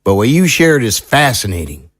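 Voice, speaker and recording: An actor playing an earnest, troubled small-town sheriff in an audio drama, speaking in a folksy, sincere, measured way. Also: male voice, mid-range voice, sing-song, fairly bright tone, no room sound, clean studio sound. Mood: grave, candid.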